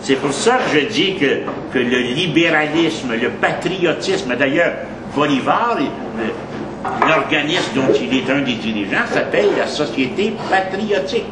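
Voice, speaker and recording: A man's voice: a little high, expressive, measured pace. A man with a Québécois French accent giving a history lecture, digressive and prone to restarts, stressing key words. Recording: echoey room, mic far off, background noise.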